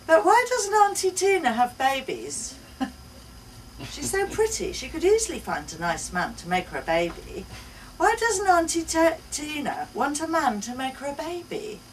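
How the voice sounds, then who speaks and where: A woman's voice: high voice, very animated, normal speed, voice pushed up. A woman voicing an inquisitive, insistent, chirpy little daughter in a short story read aloud. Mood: curious, insistent. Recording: distant mic, some room noise.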